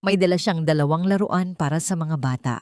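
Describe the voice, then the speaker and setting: A woman narrates a dramatic story in the first person. Her voice sits fairly low and is recorded very dry. She delivers the script in deliberate, short phrases. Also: darkish tone, no echo.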